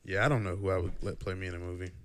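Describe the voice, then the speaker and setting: A man's voice: low-pitched, rapid-fire, smooth. A young man hosting a casual podcast, teasing his guest and steering the talk. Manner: teasing, relaxed, steers the topics. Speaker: podcast host. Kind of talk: casual chat.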